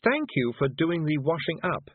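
Man playing a polite gentle witch lady, husky tone, phone-thin sound. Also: a little high, big pitch swings, normal speed, dry recording, phone-quality sound, pitched above the performer's own voice. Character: polite, mild middle-aged witch woman.

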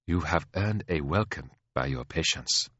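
A man voicing a calm, imperious elder queen in an audiobook story, patient and chiding with quiet menace. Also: very deep voice, measured pace, dry recording, muffled recording, exaggerated voice. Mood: measured, gracious. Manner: patient, chiding, like a teacher with a naughty pupil.

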